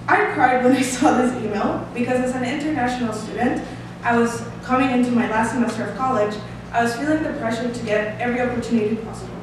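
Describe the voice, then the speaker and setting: A woman in her twenties with a Costa Rican Spanish accent, a native Spanish speaker from Costa Rica, giving an earnest prepared speech read from paper at a lectern. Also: on the high side. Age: 20s. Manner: earnest, reading aloud with hand gestures, light laughs. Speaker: student speaker. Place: small room, standing at a lectern. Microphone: stand mic at some distance. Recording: echoey room, distant mic, audible hiss.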